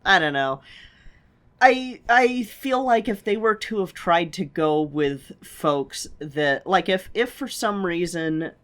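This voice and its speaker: A woman's voice: fairly low pitch, expressive, slow pace, a bit bright. A woman co-hosting a podcast in casual comedic chat, playful and blunt in how she talks. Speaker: podcast co-host.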